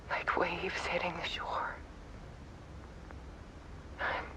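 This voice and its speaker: An actress playing an exhausted, haunted woman photographer in a game cutscene, giving a halting, quietly desperate confession in a breathy whisper. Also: sits low, low-quality recording. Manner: halting, hushed. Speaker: actor in a live-action video game cutscene. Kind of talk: confessional dramatic monologue.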